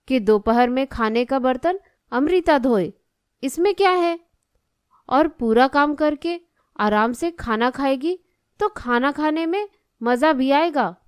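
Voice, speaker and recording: A woman's voice: sits high, clean tone, dry recording, studio quality. A woman voicing a stern, resentful middle-aged mother in a cartoon story, arguing bossily and insistently. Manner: argumentative, insistent, bossy. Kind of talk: Hindi cartoon story dialogue.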